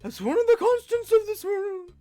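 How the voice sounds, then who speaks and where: A man voicing a shrill talking flower from a video game that parrots what it has overheard in exaggerated mimicry. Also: very animated, moderate pace, mellow. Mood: loud, parroting. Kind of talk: live character voicing of video game dialogue. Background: game music.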